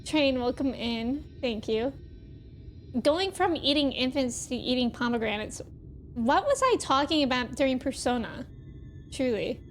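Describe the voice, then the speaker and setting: High-voiced, expressive woman streaming. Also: a little slow, soft background music. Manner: expressive, exclamatory, chatty. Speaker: video game livestreamer. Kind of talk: casual livestream chat, reacting and reading chat aloud.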